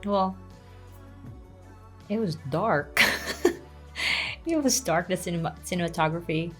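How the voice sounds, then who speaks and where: A woman with a somewhat low voice. She chats casually and giggles often, with faint music behind her. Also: unhurried. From Philippines. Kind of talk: casual chat.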